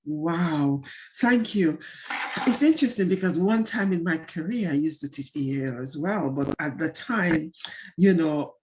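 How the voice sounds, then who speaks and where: A woman's voice: fairly low pitch, darkish tone, highs cut off, a little hiss or hum. A woman hosting an online show for educators, welcoming her guest with emphatic repetition. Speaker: host.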